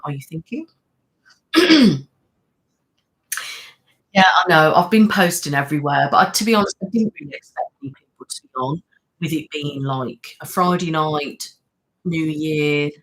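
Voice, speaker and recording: A soft-spoken woman chats casually on her ASMR live stream. She greets her viewers by name and answers their questions in a relaxed, rambling way, with plenty of fillers. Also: low-pitched, poor audio. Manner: chatty, reads and greets viewers' comments. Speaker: ASMR channel host.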